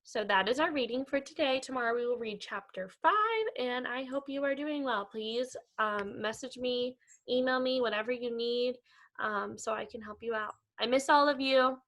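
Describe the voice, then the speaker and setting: A woman teacher reading aloud to young pupils in an expressive, animated storytelling style. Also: fairly high pitch, moderate pace. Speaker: elementary school teacher. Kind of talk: animated children's read-aloud.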